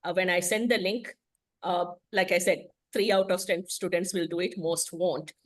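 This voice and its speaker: A woman's voice: lowish voice, fairly even intonation, moderate pace. A woman whose first language is Tamil, giving a frank, explanatory presentation on a video call as an English teacher. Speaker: guest speaker, English teacher.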